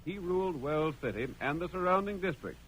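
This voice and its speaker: A man's voice: on the high side, expressive, brisk, a bit bright, slight background noise, rough recording. An American man narrating a vintage radio western in an emphatic, declamatory, dramatic scripted style. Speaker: announcer and narrator. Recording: old radio broadcast.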